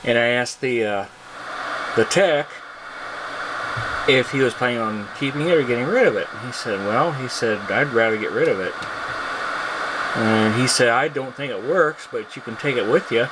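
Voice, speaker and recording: A man casually showing off a piece of his collection on camera, speaking in a halting, plainspoken way. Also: mid-range voice. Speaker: hobbyist video presenter, radio collector. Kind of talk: casual product show-and-tell, unscripted. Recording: loud steady hum and hiss.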